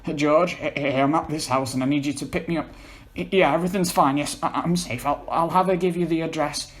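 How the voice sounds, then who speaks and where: A man voicing a distressed, tearful young man in a story reading, stammering and pleading, quick to swear and sulk. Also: fairly high pitch, fairly even intonation, pitched above the performer's own voice, natural voice. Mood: shaken, anxious. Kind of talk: amateur dramatic reading of a story.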